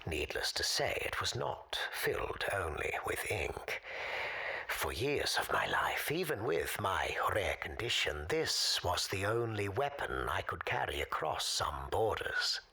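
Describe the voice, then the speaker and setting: A woman playing an elderly, weary, wily former spy in an audio drama, with a hoarse, half-whispered rasp and formal, deliberate storytelling. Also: a bass voice, very animated, pushed and bright, heavily acted. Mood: grave, tense. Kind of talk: dramatic monologue in audio fiction.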